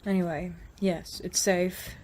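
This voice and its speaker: An actress playing a weary, bossy survivor of a zombie apocalypse in an audio drama, talking in a curt, dry, commanding way. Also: female voice, fairly low pitch, fairly even intonation, slow, darkish tone, slight background noise. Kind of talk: scripted audio drama acting.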